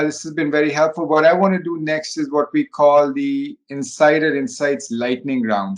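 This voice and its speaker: A man hosting a conversational business interview, speaking haltingly and often dropping in "you know". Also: medium pitch.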